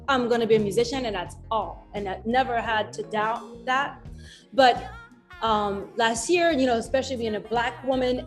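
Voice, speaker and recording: A woman, a musician answering interview questions in a reflective way, laughing easily and often restarting her sentences. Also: fairly high pitch. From France. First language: French. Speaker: musician guest.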